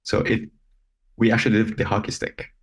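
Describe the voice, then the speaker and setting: A man, speaking as an interview guest in a measured, thoughtful way with frequent pauses. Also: on the low side, completely flat delivery, husky. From Lebanon. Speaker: guest, robotics company founder. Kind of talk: casual interview talk.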